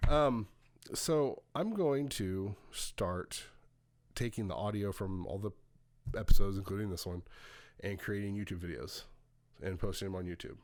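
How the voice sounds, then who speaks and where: A man's voice: low voice, unhurried. A man co-hosting a podcast in casual, rambling chat, swearing now and then. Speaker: podcast co-host.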